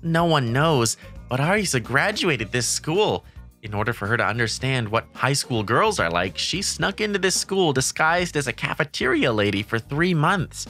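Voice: medium pitch, big pitch swings, normal speed, a bit bright, soft background music. A narrator telling a first-person romantic comedy manga story in a scripted dub style.

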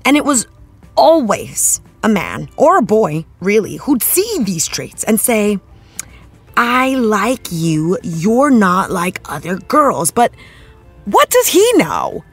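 A woman's voice: medium pitch, very animated, slow pace, slightly rough, soft background music, exaggerated voice. A woman playing an earnest, thoughtful TV actress in an interview, searching for her words in a conversational way. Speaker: interviewed actress, after-show guest.

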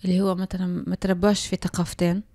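A woman in her thirties with a Libyan Arabic accent, a podcast guest who explains her points thoughtfully and pauses often. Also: fairly low pitch, little pitch movement, mellow, no room sound, studio quality. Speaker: guest, applied linguistics lecturer. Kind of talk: casual conversation. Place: small home room. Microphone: handheld mic.

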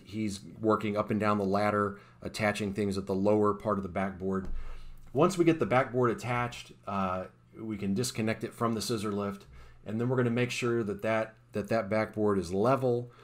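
A man, an installer, talking through the steps of a job in a plain, technical voice-over, with frequent uh's and restarts. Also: lowish voice. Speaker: sport court installer. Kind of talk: voice-over explaining a time-lapse install.

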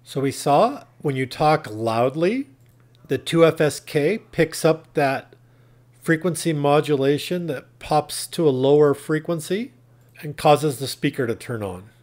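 A man's voice: a little high, expressive, measured pace, a little mellow. A man narrating a tech tutorial in a plain, instructional, step-by-step way. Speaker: tutorial presenter.

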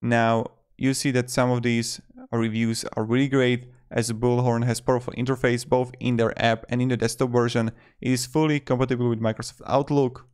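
Guy in his 20s doing a casual review, average pitched voice, loose wording, close desk mic. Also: level tone, normal speed, a little mellow, clear, resonant tone. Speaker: host of software review video. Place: small indoor room. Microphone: desktop microphone close in front of him.

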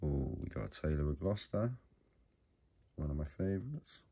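A British man with a slightly croaky, sore-throat voice, giving casual, low-key hobby commentary as he goes along. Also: big pitch swings, moderate pace, warm tone. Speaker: host of a coin hunting channel. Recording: noisy home recording with crinkling bag sounds.

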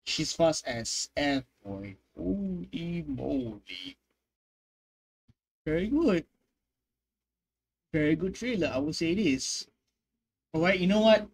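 A man's voice: fairly high pitch, lively intonation, slow pace, vocal fry, long pauses. A man in his twenties giving casual, slangy reaction commentary, exclaiming and repeating his phrases. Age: 20s. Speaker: reaction video host, gamer. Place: small bedroom, indoors.

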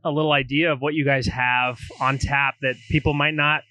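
Man, 30s, bright sharp voice, enthusiastic, affirming, podcast co-host, dry close mic. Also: medium pitch, little pitch movement, moderate pace, no echo. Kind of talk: interview questions. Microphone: studio microphone on arm.

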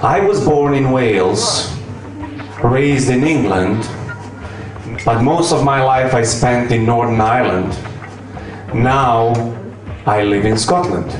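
A man's voice: medium pitch, slow pace. A man from Montenegro, doing a wry, chatty stand-up set and talking with the crowd. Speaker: stand-up comedian. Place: comedy club stage. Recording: echoey club from a distant mic. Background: crowd laughter and music.

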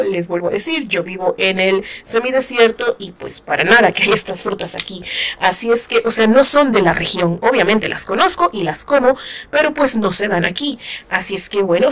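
A woman's voice: mid-range voice, fairly bright tone. A woman with a northern Mexican Spanish accent giving casual, chatty commentary on a novel, retelling it playfully with asides to her listeners. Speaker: book commentator, channel host.

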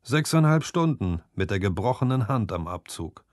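A man acts out a stern marksman from a fantasy audiobook. He speaks firmly and plainly about duty, in a lowish voice with a dry, close sound. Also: big pitch swings, normal speed, a little mellow, no room sound. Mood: stern, reproachful. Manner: firm, plain-spoken, admonishing.

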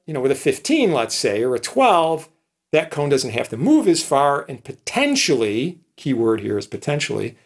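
A man's voice: medium pitch, vocal fry. A man in his sixties, a professor explaining things informally, in a conversational way with frequent checking tag questions. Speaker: professor, lecturer. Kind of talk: informal explanatory electronics lecture.

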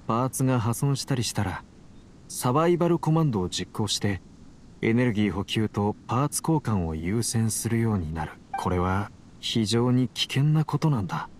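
Anime game researcher male actor: mid-pitched, driven yet tired, tender, expressive melody. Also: a little hiss or hum, close to an everyday voice. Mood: serious. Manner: earnest, talking tenderly as if to a companion. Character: male researcher, driven but weary, fond of his machine. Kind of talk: anime game voice acting, monologue to a machine.

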